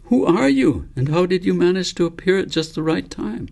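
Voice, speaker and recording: A man's voice: high-pitched, big pitch swings, normal speed, creaky, a little mellow, voice pushed up, heavily acted. A man reading the part of a bewildered schoolboy in a storybook, asking insistent questions full of doubt.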